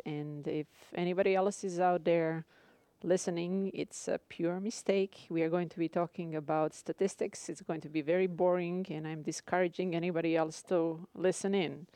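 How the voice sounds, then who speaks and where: A woman with a Croatian accent, a course instructor giving a live radio lecture to her students, speaking carefully with frequent filler pauses. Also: fairly low pitch, warm tone, clean tone, no echo.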